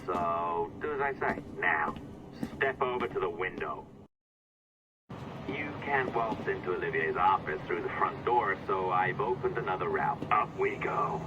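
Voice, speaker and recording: An actor playing a volatile, sardonic hacker and blackmailer in a video game, menacing and mock-friendly. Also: male voice, sits low, animated, unhurried, highs cut off, background noise. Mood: sly, bossy. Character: sly, volatile male conspiracy-minded hacker and blackmailer. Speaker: hacker accomplice giving orders over an earpiece.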